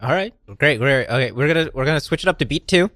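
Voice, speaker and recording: A man's voice: on the high side, animated, fast talker, a bit bright, dry recording, studio quality. A man co-hosting a comedy podcast in improvised banter, placating and playing along. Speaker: podcast co-host.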